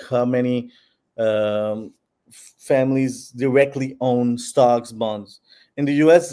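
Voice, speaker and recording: Man, mellow voice, explanatory, filler-heavy, interview guest. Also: medium pitch. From Peru. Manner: explanatory, many fillers and restarts. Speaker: founder of a bitcoin platform. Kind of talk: interview answers.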